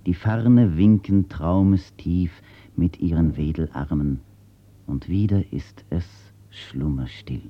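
A man, a writer, reading his own words and reciting nature poetry in a slow, measured, solemn way, from an old archive recording. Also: deep voice, dull audio. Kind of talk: poetry recitation and read-out statements.

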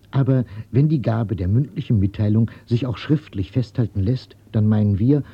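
Breathy male radio narrator. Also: lowish voice, muffled recording. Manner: formal, measured reading.

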